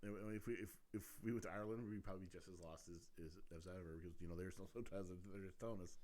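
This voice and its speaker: A man with a fairly low voice talks quickly and bluntly. He swears freely and laughs easily. His delivery is loose and conversational. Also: steady tone, darkish tone, a little hiss or hum. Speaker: podcast co-host. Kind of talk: casual chat.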